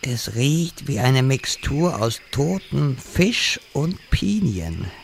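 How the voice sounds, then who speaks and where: A man's voice: mid-range voice, sing-song, vocal fry, slight background noise, exaggerated voice. A man playing a pompous, theatrical old wizard in a comedy audio drama. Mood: grand, then puzzled. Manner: slow, theatrical delivery.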